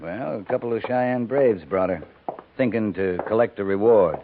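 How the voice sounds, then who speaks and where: An actor playing a stern, weary but caring cavalry captain with terse, commanding speech in a 1950s radio western. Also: male voice, fairly low pitch, normal speed, phone-quality sound, natural voice. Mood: gentle. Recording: noisy, thin 1950s radio recording.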